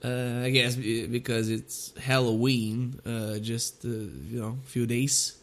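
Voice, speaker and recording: A man's voice: medium pitch, fairly even intonation, takes it slowly. A man, hosting his own podcast in a casual, rambling, self-deprecating monologue full of hesitant fillers. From Bulgaria. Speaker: podcast host and comedian.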